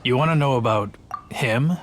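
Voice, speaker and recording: A man's voice: medium pitch, moderate pace, natural voice. A man playing an earnest, somewhat cowardly city guard in a video game, telling his story and excusing himself. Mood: self-important. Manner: storytelling, self-justifying. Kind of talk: video game character acting.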